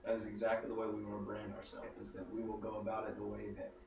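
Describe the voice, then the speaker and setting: A man's voice: lowish voice, completely flat delivery, fast, noisy background. A man in his early twenties, a company co-founder, speaking with emphatic, confident declarations in a casual interview. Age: 20s. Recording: roomy, distant and dull sound.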